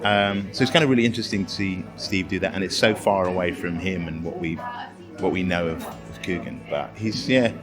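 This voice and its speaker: A man's voice: deep voice, brisk. A man chatting casually in an interview, enthusiastic and often searching for his words. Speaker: interviewee from the production. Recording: loud music behind. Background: party music.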